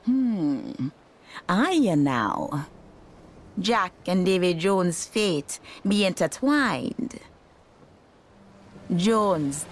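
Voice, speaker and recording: A woman playing a mysterious, knowing pirate sorceress with a Caribbean accent in a video game, telling tales in an ominous, drawn-out way. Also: mid-range voice.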